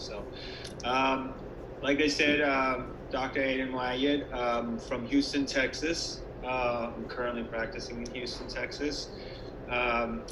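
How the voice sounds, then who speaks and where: In an echoey, noisy recording, a man talks through an informal presentation as a dentist. His voice sits at a middle pitch, and he explains things conversationally, pausing hesitantly as he goes. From born and raised in Iran until age ten, then the United States. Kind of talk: informal online presentation to students. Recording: echoey room with clear background noise.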